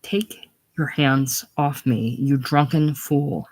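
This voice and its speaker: A reader voicing a stiff, haughty young gentleman who turns curt and indignant. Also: medium pitch, very animated, slow, rough recording. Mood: outraged.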